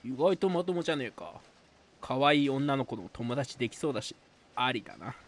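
A man's voice: mid-range voice, sing-song, fairly quick. A man playing a cynical, womanizing university student with a blunt, grumbling way of talking in an amateur radio drama. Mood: teasing.

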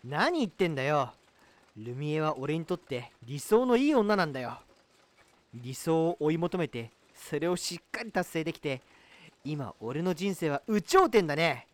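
A man playing a boastful, lovestruck, excitable young guy with a boyish, gushing manner in an amateur comedy radio drama. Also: a little high, moderate pace. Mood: smitten, boastful.

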